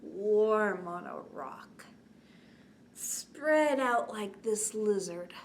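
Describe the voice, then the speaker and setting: A woman in her forties hosting a children's bedtime story time, expressive, drawing out words and often dropping to a hushed, whispery tone. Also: medium pitch, extremely slow. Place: indoors, small curtained set. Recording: clear background noise.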